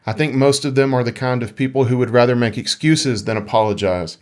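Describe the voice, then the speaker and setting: A man with a mid-pitched voice talks as a podcast co-host. His delivery is casual and conversational, with a joking, playful streak and some dramatic emphasis on read-out lines. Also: fairly quick. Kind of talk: casual conversational podcast chat about gaming.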